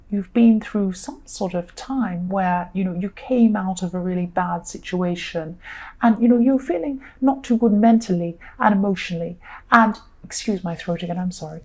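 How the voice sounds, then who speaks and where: A woman with a slightly croaky voice talks through a tarot reading at a middle pitch. She sounds chatty and reassuring and keeps restarting her sentences around frequent fillers. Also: a bit bright. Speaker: tarot reader. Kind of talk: solo tarot reading monologue.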